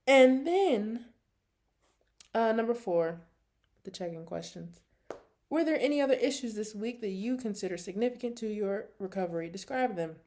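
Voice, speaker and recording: Female, early 20s, American. Slightly high voice. Casual vlog storyteller, animated, sassy and full of slang. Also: normal speed. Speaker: vlogger. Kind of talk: casual, chatty personal vlog.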